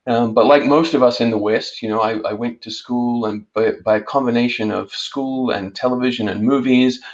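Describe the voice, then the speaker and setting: A man with a New Zealand accent giving a reflective, halting personal account as a panel guest. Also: medium pitch, fairly even intonation, highs cut off.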